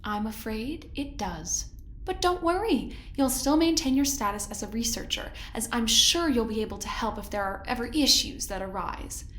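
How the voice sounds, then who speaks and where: An actress playing a smooth, patronizing woman who directs a research project on a spaceship in an audio drama, with mock-sweet control. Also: a little high, expressive, moderate pace, a bit bright, slight background noise. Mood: condescending, controlling. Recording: roomy, echoey sound, music underneath. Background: music.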